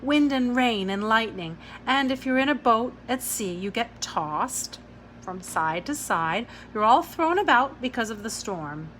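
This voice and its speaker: A woman's voice: fairly high pitch, animated, unhurried, fairly bright tone. Woman voicing a firm, caring guardian, noisy recording. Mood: patient explaining.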